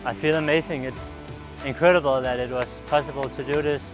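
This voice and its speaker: A man's voice: mid-range voice, fairly even intonation, fairly quick. A young man from Denmark, an athlete giving a casual interview. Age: young adult. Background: background music.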